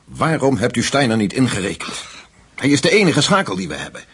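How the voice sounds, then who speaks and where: An actor playing a brisk, suspicious American intelligence agent in a Dutch radio play, blunt and probing in his talk. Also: medium pitch, big pitch swings, fairly bright tone, slightly rough, exaggerated voice.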